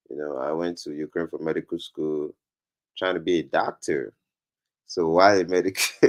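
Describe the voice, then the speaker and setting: A man from Nigeria with a Nigerian accent, chatting casually as a comedian guest on a talk show. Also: a bass voice.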